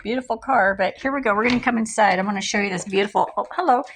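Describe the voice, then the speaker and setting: A woman's voice: mid-range voice, very fast, slight background noise. Chatty woman rambling on a phone vlog. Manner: rambling, easily distracted, laughs often. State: slightly raspy voice. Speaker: vlogger. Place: outdoors then inside a home. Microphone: phone held by hand.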